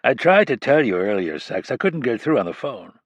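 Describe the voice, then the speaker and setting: A man's voice: medium pitch, big pitch swings, moderate pace, no echo. A male narrator voicing a bitter, commanding male criminalist, dry and cutting.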